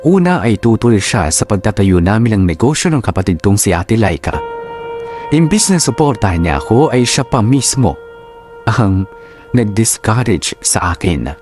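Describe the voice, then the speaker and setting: A man narrating a wife's aggrieved confession for a radio drama, in a measured, deliberate manner. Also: fairly low pitch, sing-song, darkish tone, faint music behind. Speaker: narrator reading a letter-writer's story.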